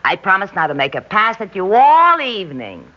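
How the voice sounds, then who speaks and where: A woman's voice: mid-range voice, huge pitch swings, normal speed. An actress playing a sardonic, man-hungry divorced diner woman in a 1960s radio drama, with slangy, blunt American delivery. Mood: brash, flirty. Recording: dull vintage radio recording.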